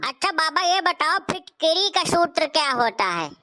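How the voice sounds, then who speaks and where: Woman doing a polite little schoolboy, high bright cartoon voice, matter-of-fact questions. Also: big pitch swings, moderate pace, exaggerated voice. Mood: polite, quizzing. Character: Golu, polite little schoolboy quizzing his elder. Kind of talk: comic cartoon dialogue.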